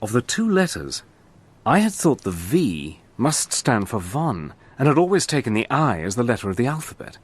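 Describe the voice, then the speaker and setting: A man's voice: mid-range voice, sing-song, moderate pace, slightly rough. A man giving earnest, reflective first-person narration for a radio drama.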